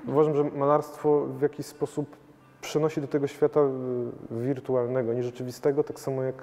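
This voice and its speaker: A man in his thirties, a painter, answers interview questions thoughtfully, with many pauses, fillers and restarts. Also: mid-range voice, little pitch movement, dark tone. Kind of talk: gallery interview. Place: large gallery hall with paintings. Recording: long hall reverb, faint noise.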